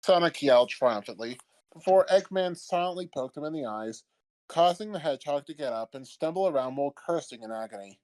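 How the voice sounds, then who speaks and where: A man's voice: lively intonation, fairly quick, a little mellow. A man reading scripted comedic fanfiction as the narrator. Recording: old-timey low-quality mic, background music.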